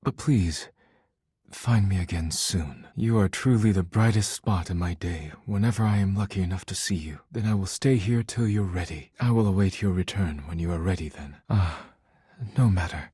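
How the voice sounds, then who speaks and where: A proud, earnest video game character with a low, very breathy and husky voice. He speaks formally, choosing his words with measured precision. The sound is close and dry. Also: mellow, dry recording. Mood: tender, affectionate. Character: formal, proud, earnest man devoted to his work and art.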